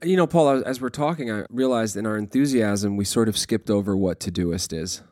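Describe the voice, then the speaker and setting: A man's voice: fairly low pitch, brisk, darkish tone, no echo, clean studio sound. A man co-hosting a podcast, asking questions in casual, conversational interview style.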